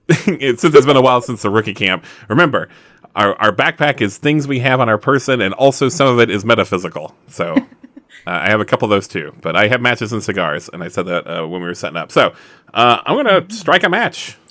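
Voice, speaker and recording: A man's voice: fairly low pitch, lively intonation, brisk, fairly bright tone, dry recording. A man playing a tabletop roleplaying game, chatting casually with joking asides as he says what his character does.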